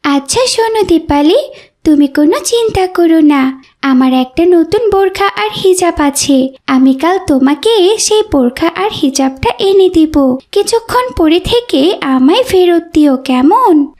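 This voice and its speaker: A woman's voice: very high-pitched, expressive, unhurried, a little mellow. A woman voicing a kindly, devout young schoolgirl in a cartoon, sincere and encouraging. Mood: kindly, reassuring. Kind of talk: cartoon dialogue acting.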